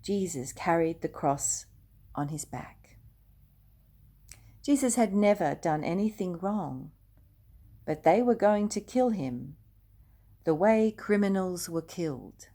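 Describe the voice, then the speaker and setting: Slow, gentle older woman reading a story. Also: fairly low pitch, expressive. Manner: slow, gentle and hushed, dropping to near whispers. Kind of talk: reading a children's storybook aloud.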